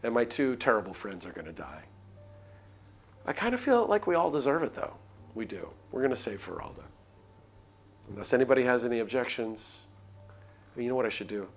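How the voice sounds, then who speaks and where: A man's voice: medium pitch, big pitch swings, fairly quick, pauses often. A man reading a visual novel aloud on a live stream, moving between dramatic narration and casual asides.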